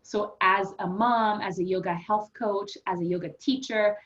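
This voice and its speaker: A woman from Haiti speaks as an interview guest, her voice sitting at a middle pitch. She comes across as earnest and reflective, and the recording sounds dull and lo-fi. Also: fairly even intonation, moderate pace. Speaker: yoga health coach and guest. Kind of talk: conversational interview. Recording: muffled lo-fi audio.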